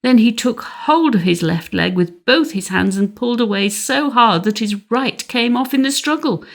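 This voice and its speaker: A woman's voice: fairly high pitch, animated, moderate pace, gravelly. A woman reading scripted narration as an audiobook narrator.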